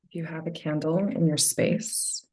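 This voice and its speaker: A woman leading a guided meditation with gentle, unhurried phrasing and a soft, soothing delivery. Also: low voice, fairly even intonation.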